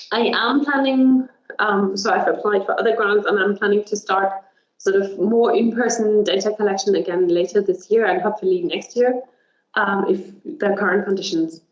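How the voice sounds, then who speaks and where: A woman's voice: medium pitch, normal speed. A young woman, a linguist whose first language is German, giving a webinar talk with many ums and a frequent "right?". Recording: roomy, dull video call audio.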